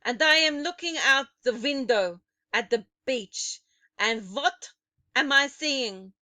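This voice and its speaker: A woman's voice: sits high, expressive, slow pace, pushed and bright, no echo, voice pushed up, exaggerated voice. A woman voicing a furious, ancient female witch queen who screams and spits with rage. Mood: screaming rage. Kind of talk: audiobook character voice.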